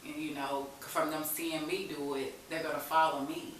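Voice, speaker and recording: Echoey, low-pitched woman speaking candidly. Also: level tone, normal speed. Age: 30s. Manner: informal vernacular speech with frequent "you know". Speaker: interview guest telling a recovery story. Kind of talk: candid personal storytelling in conversation. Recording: echoey, distant mic, faint hiss.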